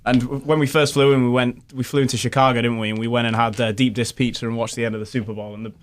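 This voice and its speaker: A man in a band, telling tour stories in a relaxed, casual way. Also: mid-range voice, very fast. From England. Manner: relaxed storytelling. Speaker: band member. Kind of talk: casual chat.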